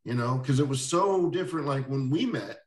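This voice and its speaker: Male interview host, easygoing and rambling, mid pitch, recorded off-mic in a roomy space. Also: lively intonation, fairly quick. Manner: rambling, with many restarts and soft laughs. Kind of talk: casual interview chat. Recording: roomy echo, distant mic.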